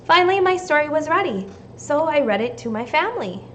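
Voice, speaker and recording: A woman from the United States reading a storybook aloud and giving children simple, deliberate instructions like a teacher. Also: high voice, very animated, highs cut off, slight background noise. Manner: deliberate, simple phrasing addressed to young children.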